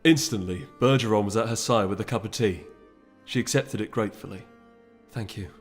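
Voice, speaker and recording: A man's voice: fairly low pitch, a little fast. A man narrating fantasy fiction from a script, as an audiobook reader. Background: music.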